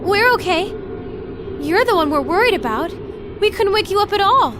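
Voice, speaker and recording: A perky American teenage girl from an anime-style game. Her voice is extremely high and girlish, with lively swings of expression. There is clear noise behind her. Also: normal speed. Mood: worried, relieved. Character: perky, excitable teenage girl, doubtful yet caring.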